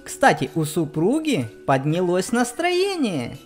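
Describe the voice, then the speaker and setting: Expressive, lively woman over music. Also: lowish voice, slow. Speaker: co-host of a children's gaming channel. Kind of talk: children's let's play commentary. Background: background music.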